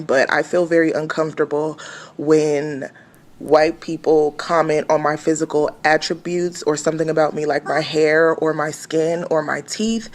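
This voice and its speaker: A woman complaining earnestly in a social media video clip. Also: sits low.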